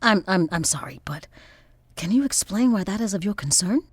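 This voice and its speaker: Husky, proud young American woman. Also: mid-range voice, expressive, normal speed, no echo. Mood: guarded, defiant. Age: young adult. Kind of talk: audio drama acting.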